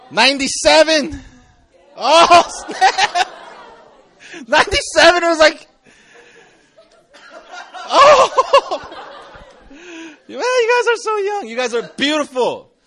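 A man's voice: very high-pitched, sharp tone. A man in his forties, a campus pastor, giving a casual, joking youth sermon to a live audience. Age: 40s. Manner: chatty, jokey, restarts often.

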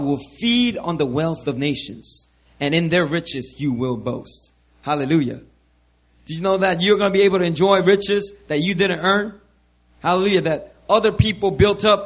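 A man preaching to his church with a hoarse, worn-out voice, repeating hallelujah and calling out to the congregation over a handheld mic. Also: on the high side. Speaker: pastor. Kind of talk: sermon.

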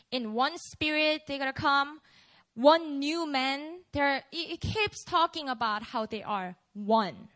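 A woman's voice: high voice, fairly bright tone. A Korean woman pastor, a native Korean speaker, giving a casual, conversational sermon at a prayer meeting, with many fillers.

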